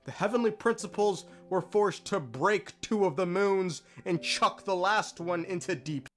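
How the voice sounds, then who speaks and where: A man's voice: sits high, a little slow, fairly bright tone, faint music behind. A man narrating a game lore recap in an emphatic, dramatic way, drawing out key words.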